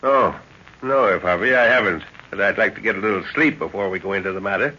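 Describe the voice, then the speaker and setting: A man's voice: lowish voice, expressive, fast, sharp tone, breathy edge, exaggerated voice. An actor playing a middle-aged, fatherly professor and explorer, hearty and thoughtful, in an old radio drama. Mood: calm, thoughtful. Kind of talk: 1930s radio acting. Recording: muffled 1930s radio recording.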